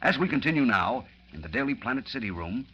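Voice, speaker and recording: A man's voice: medium pitch, very animated, quick pace, husky. A man narrating a 1940s radio serial as announcer, urgent and theatrical. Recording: muffled old radio recording, faint noise.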